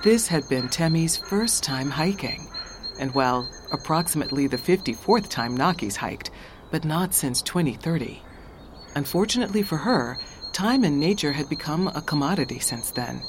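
A woman narrating an audio drama as a scripted storyteller, measured and slightly wry. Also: low-pitched, lively intonation, background noise.

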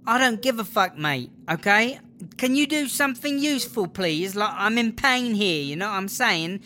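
A woman's voice: medium pitch, lively intonation, normal speed, bright, forceful tone, slight background noise. A woman playing an injured, foul-mouthed British prison inmate in an audio drama, groaning in pain and exasperated. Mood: furious, pained. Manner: sweary, exasperated, sarcastic.

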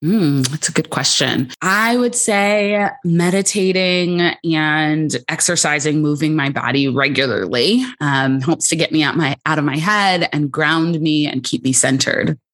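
Low-voiced calm woman. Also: unhurried, hardly any pauses. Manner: thoughtful, calm, laughs easily. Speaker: psychologist guest. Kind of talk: podcast interview.

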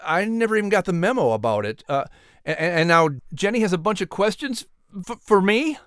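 A man's voice: high-pitched, very animated, a little fast, fairly bright tone, clear, resonant tone, no room sound, studio quality, voice pushed up. A man playing a nervous, fretful announcer in comic children's podcast banter, stammering and flustered. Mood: anxious.

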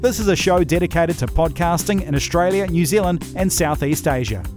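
A man's voice: on the high side, fairly quick, pitched above the performer's own voice, natural voice. A man reading a podcast's scripted opening in an upbeat announcer style. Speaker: show announcer. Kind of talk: scripted show intro over music. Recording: voice over drum music.

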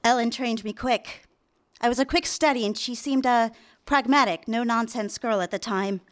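Casting: actress for an earnest, lovestruck young office girl, higher-pitched, easily flustered, for a live radio play. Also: moderate pace, close to an everyday voice. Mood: confiding. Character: nervous, smitten young female typist, new at the office. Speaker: actor. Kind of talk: live radio drama acting with confessional narration.

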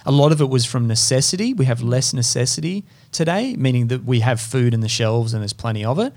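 A man's voice: medium pitch, lively intonation, fairly quick, a little mellow. Earnest, opinionated male podcast host. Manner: opinionated, earnest, talks in long runs. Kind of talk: conversational interview hosting.

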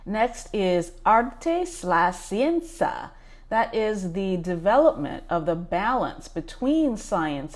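A woman with a fairly low voice talks at an unhurried pace. She hosts a book club podcast and walks eagerly through a list of ideas. She fills the pauses with ums. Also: darkish tone. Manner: enthusiastic, reads lists with frequent ums. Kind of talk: casual podcast talk reading from a book.

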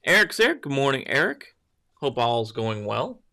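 A man's voice: mid-range voice, sing-song, a little slow, vocal fry, sharp tone. A man hosting a casual morning livestream, greeting viewers by name and rambling loosely while correcting himself. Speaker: livestream host.

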